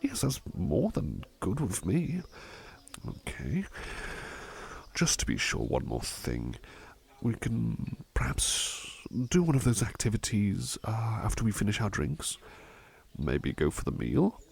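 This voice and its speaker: A man playing a polite, attentive butler in an ASMR roleplay, speaking in a hesitant, courteous, gently rambling way. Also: fairly low pitch, wildly swooping pitch, slow pace, creaky, slightly rough, full character voice.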